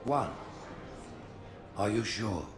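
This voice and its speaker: An actor playing a stately alien religious leader in a game, passing cold judgment in a slow, grandiose manner. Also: male voice, lowish voice, animated, music in the background. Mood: cold, deliberate. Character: stately alien high prophet, coldly judging religious leader.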